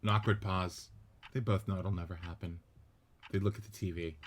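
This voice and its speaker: A man narrating the stage directions of a script reading in an even, matter-of-fact way. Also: sits low, moderate pace.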